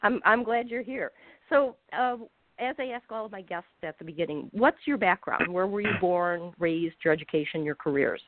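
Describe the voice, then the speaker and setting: A woman's voice: mid-range voice, moderate pace, no room sound. A middle-aged woman from north of Chicago hosting a radio talk show, chatty, with many fillers and restarts. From north of Chicago, USA. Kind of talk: casual radio interview. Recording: dry phone-line sound.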